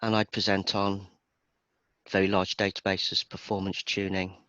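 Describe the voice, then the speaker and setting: A chatty British man with a Birmingham accent and a lowish voice talks casually as an expert guest. He is self-deprecating and conversational. The sound is dry and somewhat dull. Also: little pitch movement, moderate pace, fairly bright tone, no echo. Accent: Birmingham English. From Birmingham, England. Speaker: interview guest, database performance expert. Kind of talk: casual interview chat.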